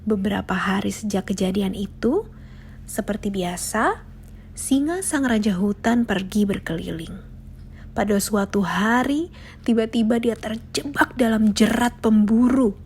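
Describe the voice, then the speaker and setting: A woman's voice: medium pitch, a little slow, darkish tone, slight background noise. A woman narrating a scripted children's fable as a storyteller.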